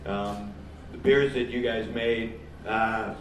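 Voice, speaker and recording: A man from New England hosting a homebrew competition, announcing the winners in a halting, informal speech. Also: fairly low pitch, fairly even intonation. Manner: halting, with frequent pauses. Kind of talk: informal speech at a live event. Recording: echoey room, mic far off, faint noise.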